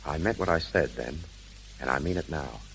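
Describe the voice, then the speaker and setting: An actor playing a suave, guarded gentleman suitor in a 1930s radio drama. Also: rumbling low, moderate pace, creaky, full character voice. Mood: earnest. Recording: hissy, crackling 1930s radio recording.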